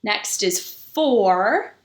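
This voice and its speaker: A woman pastor reading a children's book aloud for story time, pausing to explain things simply to young listeners. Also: mid-range voice, animated. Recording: roomy, echoing sound.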